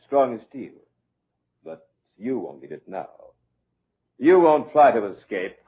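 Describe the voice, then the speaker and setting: A man playing a sinister, controlling madman in an old radio horror drama, deliberate and smugly taunting. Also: medium pitch, big pitch swings, dark tone, soft background music. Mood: smug menace.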